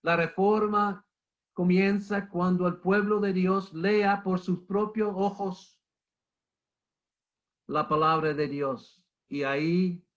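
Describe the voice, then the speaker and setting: A middle-aged man with the flu giving an emphatic church history lecture, repeating key points and calling listeners brother. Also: fairly high pitch. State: has the flu, coughing. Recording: muffled lo-fi recording.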